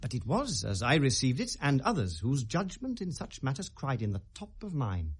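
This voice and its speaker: A British actor playing a brooding, sardonic young prince feigning madness, theatrical and mercurial in a 1970s classical radio drama. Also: male voice, medium pitch, huge pitch swings, moderate pace, breathy edge, full character voice. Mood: reflective, declaiming. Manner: theatrical, mercurial, precisely declaimed.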